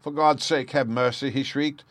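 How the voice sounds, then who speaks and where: A man's voice: lowish voice, expressive, moderate pace, sharp tone, no echo, voice pushed up. A man voicing a nervous, cringing little guilty hotel attendant in a storybook reading, agitated, deferential and pleading. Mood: terrified, pleading.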